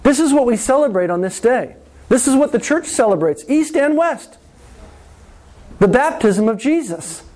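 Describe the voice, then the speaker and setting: Male pastor, sermon, high voice, slow deliberate delivery, repeats lines for weight. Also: a little mellow. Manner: slow, deliberate preaching with dramatic pauses and repetition.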